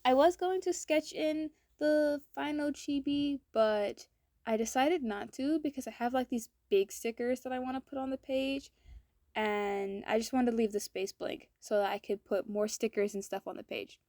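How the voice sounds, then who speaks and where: A woman art vlogger with a slightly raspy, hoarse voice, chatting casually in a voice-over and rambling with lots of "like" fillers. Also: sits high, normal speed, mellow, no room sound, clean studio sound. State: throat hoarse from cold air.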